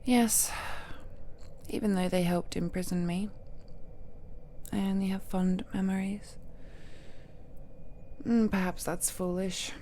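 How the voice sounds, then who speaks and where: Casting: woman as ancient cursed goddess, possessive romantic villain. Unhurried, mellow, intimate delivery that sinks into breathy whispers. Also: mid-range voice, steady tone, a little hiss or hum, lightly acted. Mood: wistful, fond. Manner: slow, intimate, often drops to whispers. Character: ancient cursed deity, possessive, tender yet menacing villainess. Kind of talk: romantic villain audio roleplay monologue.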